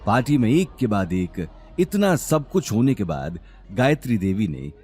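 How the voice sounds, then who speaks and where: Male story narrator, lowish pitch, lively intonation, dramatic scripted delivery, faint music behind. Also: unhurried, husky.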